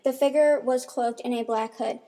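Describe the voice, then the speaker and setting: A woman's voice: a little high, steady tone, normal speed, mellow. A woman reading scripted narration for an amateur radio mystery.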